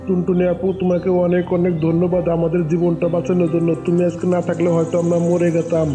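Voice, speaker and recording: Man voicing a bright, high-pitched cartoon bird husband. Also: monotone, fast, soft background music, close to an everyday voice. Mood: grateful. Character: Kalu, excitable married male cartoon bird. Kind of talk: children's cartoon story dialogue.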